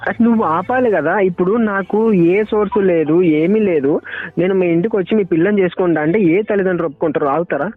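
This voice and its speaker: A man's voice: high-pitched, brisk, no room sound. A young man with a Telangana accent in an emotional private phone argument, sounding hurt and reproachful and repeating his phrases insistently. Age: young adult. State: hurt, upset. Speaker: caller's former boyfriend. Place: phone call. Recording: tinny phone call recording with music. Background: music.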